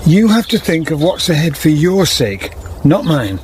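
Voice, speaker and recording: An actor playing a gentle, ageing weaver and devoted father in a radio drama, speaking tenderly in plain rustic phrases. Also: on the high side, sing-song, measured pace, slight background noise. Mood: tender, resigned.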